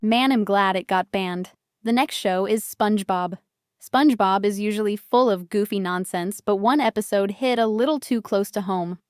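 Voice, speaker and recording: Woman, casual commentator, crisp tone, dry recording, mid pitch. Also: normal speed, no room sound, soft background music. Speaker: video host.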